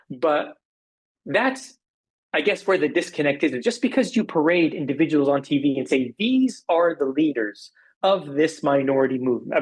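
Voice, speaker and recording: A man from Arizona with an American accent, speaking as an interview guest, making political points in a measured, emphatic way with repetition. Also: on the high side, breathy edge, poor audio. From Arizona, United States.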